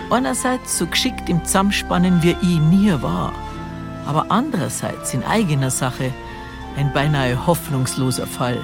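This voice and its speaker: An older woman with a Bavarian accent playing a wry, impatient grandmother who narrates an audio drama. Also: sits low. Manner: wry, musing, colloquial.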